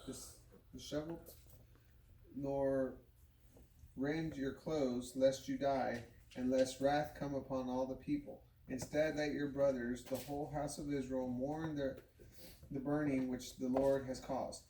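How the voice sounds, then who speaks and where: A man reads scripture aloud in a halting way, stumbling over words and starting them again. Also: medium pitch, flat delivery, mellow. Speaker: Bible reader. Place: home living room. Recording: roomy, distant mic, faint noise.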